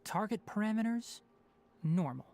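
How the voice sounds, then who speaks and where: A man voicing a calm young forest ranger and researcher in a video game, with a measured, precise, explanatory delivery. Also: sits high, gravelly, a crowd in the background. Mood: composed, explanatory. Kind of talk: scripted video game dialogue.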